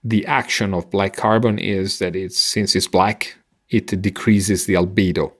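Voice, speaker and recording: A middle-aged man explains science on a podcast in a fairly low voice with plenty of vocal fry. He talks like a patient teacher, pausing and halting as he goes, in a conversational style. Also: fairly even intonation, a bit bright. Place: indoor room on a video call. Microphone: headset and microphone close up.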